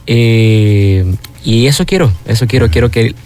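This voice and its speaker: A man's voice: medium pitch, a little slow, a little mellow, clean tone. A young Venezuelan man with a Venezuelan Spanish accent, a freestyle rapper, answering interview questions in an earnest, explanatory way. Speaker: freestyle rapper and workshop teacher.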